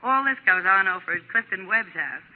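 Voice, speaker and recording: A woman's voice: medium pitch, lively intonation, normal speed, narrow, tinny audio, close to an everyday voice. 1940s American gossip columnist woman playing the part. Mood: chatty, knowing. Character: brisk, chatty woman Hollywood gossip columnist. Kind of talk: 1940s radio gossip report, scripted. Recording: band-limited 1940s radio recording.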